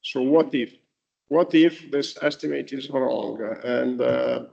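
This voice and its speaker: A man whose first language is Italian gives a university lecture, speaking haltingly with many restarts and fillers. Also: medium pitch, steady tone, gravelly, a little mellow. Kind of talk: lecture to students. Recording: dull lo-fi recording.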